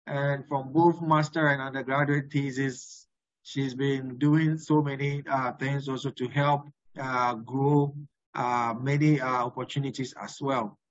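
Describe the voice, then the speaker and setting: Halting male webinar host. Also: medium pitch, level tone, dry recording. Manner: halting, many filler pauses. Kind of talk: introducing a guest. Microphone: video call. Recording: dry, dull lo-fi call audio.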